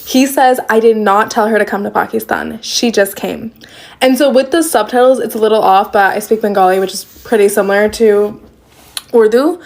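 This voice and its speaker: A woman's voice: a little high, vocal fry. A young woman casually explaining and translating in a selfie video filmed on her phone. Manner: casual, explanatory, self-correcting. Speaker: content creator translating. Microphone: phone at arm's length.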